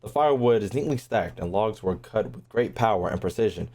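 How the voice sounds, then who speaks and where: A man's voice: lowish voice, lively intonation, fairly quick, a little mellow, breathy edge. A man doing casual live gameplay commentary as a streamer, informal and profane, sometimes reading on-screen text aloud. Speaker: game streamer. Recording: game music behind the voice.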